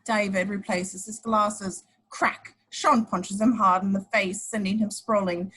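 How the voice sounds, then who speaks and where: A woman's voice: medium pitch, fairly even intonation, a little slow, a bit bright, slightly rough. A woman reading scripted action description as narrator in an amateur table read of a screenplay.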